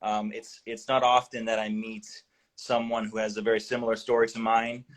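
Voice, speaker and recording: Male host, low voice, relaxed, lots of ums. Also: moderate pace. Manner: easygoing, frequent fillers. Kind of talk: casual interview chat.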